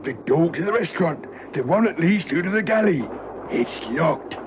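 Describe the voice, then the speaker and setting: A man's voice: fairly high pitch, animated, moderate pace, natural voice. A man playing a fussy, stammering old railwayman, bumbling and earnest, in a classic spy radio drama. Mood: earnest, flustered. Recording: noisy muffled vintage radio recording.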